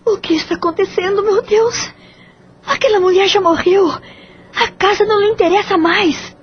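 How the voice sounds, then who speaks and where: Woman as a troubled mother, high voice, anguished, muffled audio drama. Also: normal speed. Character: troubled mother tormented by spirits.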